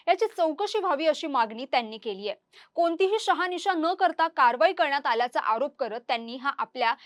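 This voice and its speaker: A woman's voice: high voice, dry recording. A woman presents the news. She reads scripted narration, and the sound is dry with almost no room in it.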